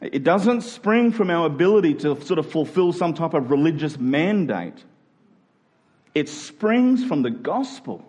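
A man preaching to a congregation in an emphatic but conversational way, stretching key words slowly and slipping into informal asides. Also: on the high side, sing-song, a little mellow. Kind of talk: sermon to a congregation.

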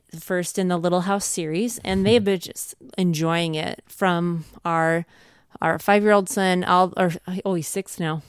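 A middle-aged woman with a fairly low, smooth voice talks casually, as a parent sharing advice. She sounds earnest and encouraging, and the recording is close and dry. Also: dry recording. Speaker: homeschooling parent giving advice. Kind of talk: casual conversational advice talk.

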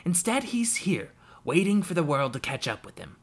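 A man's voice: on the high side, big pitch swings, normal speed, slightly rough, pitched above the performer's own voice. A man voicing a bitter, drunken uncle who rants and snarls at his family. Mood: ranting. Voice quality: loud, snarling, rising to shouts. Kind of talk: audiobook character voice.

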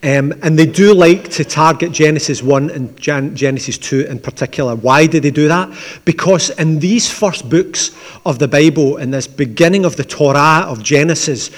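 A man with a Glaswegian accent preaches in a relaxed, conversational way, slipping in dry asides. His voice sits a little higher than most men's. The sound carries a long church reverb. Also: normal speed. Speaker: pastor. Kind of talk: sermon with opening prayer.

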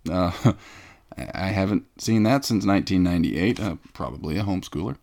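Pompous gravelly-voiced male podcast host. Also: low-pitched, lively intonation, brisk, a little mellow. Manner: pompous, self-important, mock-indignant. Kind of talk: comedic scripted podcast banter.

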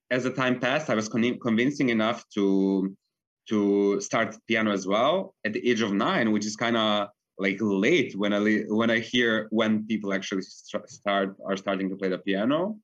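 A man's voice: fairly low pitch, fairly even intonation, a little slow, fairly bright tone. A young man from Serbia, a pianist, talking earnestly in a casual interview and often saying "like". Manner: earnest, frequent fillers like "like". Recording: dull lo-fi recording.

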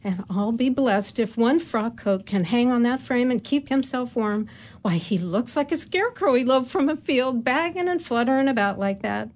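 A woman's voice: on the high side, animated, moderate pace, darkish tone. A woman playing a chatty, folksy tailor's wife. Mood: amused. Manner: rambling, homespun country dialect. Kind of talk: radio drama acting.

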